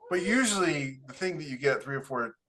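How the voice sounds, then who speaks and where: A man's voice: on the high side, expressive, a little fast, bright, forceful tone, slight background noise. A man chatting casually on a podcast, colloquial and anecdotal, repeating points for emphasis. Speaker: podcast host and author.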